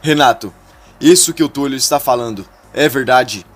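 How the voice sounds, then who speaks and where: Man voicing a stern older father, husky, firm, mid-pitched. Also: normal speed, natural voice.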